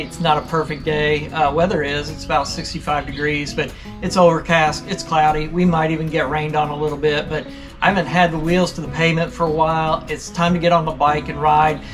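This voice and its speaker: A man's voice: a little high, little pitch movement, fairly quick, over music. A man riding a motorcycle on mountain roads, chatting to the camera in a rambling, self-amused way. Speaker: motorcycle vlogger. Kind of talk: casual ride commentary.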